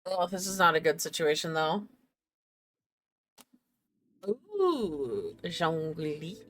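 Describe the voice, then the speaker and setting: A woman's voice: lowish voice, steady tone, brisk, a bit bright. A woman game streamer giving casual, exclamatory gameplay commentary, often talking to herself.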